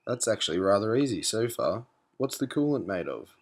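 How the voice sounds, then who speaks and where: A man's voice: lowish voice, sing-song, brisk. A man playing a naive, anxious and questioning spaceship captain in an educational cartoon. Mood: curious. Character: clueless, anxious male spaceship captain, curious learner. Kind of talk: scripted animated educational dialogue.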